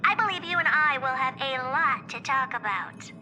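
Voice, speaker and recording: Very high-pitched, smug girlish genius. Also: animated, moderate pace, bright, forceful tone, slight background noise, exaggerated voice. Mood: eager, calling out. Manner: self-assured, theatrical, smug. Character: eccentric, proud, youthful female genius scientist. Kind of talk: scripted video game character monologue. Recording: music behind the voice. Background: music.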